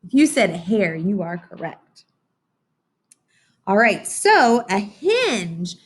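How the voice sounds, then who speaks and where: Lively, slightly husky woman teaching young children. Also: mid-range voice, slow pace. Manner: encouraging, instructional, with frequent questions to children. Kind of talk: interactive read-aloud phonics lesson.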